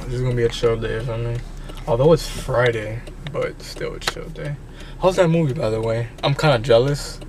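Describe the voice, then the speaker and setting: A man's voice: mid-range voice, steady tone, a little fast. Joking young man driving a car. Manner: joking, boastful, slangy. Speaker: vlogger's boyfriend, driving. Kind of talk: casual banter. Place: car, driver's seat. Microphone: phone camera held by passenger. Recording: car noise in background.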